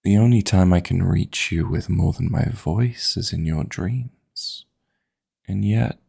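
Man voicing a very deep, gravelly demon lover. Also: exaggerated voice. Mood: seductive longing. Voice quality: husky, breathy, gravelly. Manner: slow, coaxing, formal endearments. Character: possessive, flirty, tender male dream demon lover. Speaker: audio roleplay performer. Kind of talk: intimate sleep-aid roleplay, ASMR.

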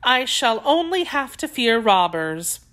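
Casting: woman to play young lady of historical storybook, secretive, commanding, formal, emphatic, raised pitch. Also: big pitch swings, a little slow, a bit bright. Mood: guarded. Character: secretive, imperious young Frenchwoman, anxious and guarded.

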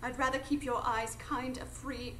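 A woman's voice: sits high, level tone, moderate pace, fairly bright tone, recorded from a distance. A woman playing an earnest, wounded but proud lady in a live radio drama, speaking formally. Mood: wounded, guarded. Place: live stage before an audience. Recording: echoey live stage, faint noise.

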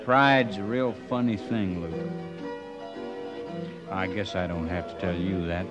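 An actor playing a proud, stubborn cowboy with a folksy delivery in an old radio western. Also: male voice, low-pitched, steady tone, takes it slowly, over loud music. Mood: easy, candid. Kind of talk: 1950s radio western acting. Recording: vintage radio drama recording.

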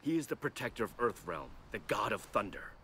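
Earnest, low-voiced young warrior. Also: male voice, very animated, a little fast, sharp tone, slight background noise. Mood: earnest reproach. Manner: reverent, serious, formal.

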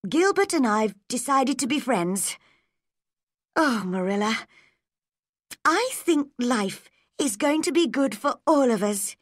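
Woman doing teenage girl, storybook, high eager voice, earnest, dry close sound. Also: big pitch swings, slow pace, pauses often, no echo, full character voice. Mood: warm.

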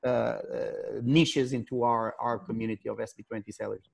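A man's voice: mid-range voice, fairly even intonation, creaky, breathy edge. A middle-aged man with a Portuguese accent, speaking as a guest on a video call, often stalling on repeated words. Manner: halting, many repeated "and" restarts. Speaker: sailing company CEO, guest.

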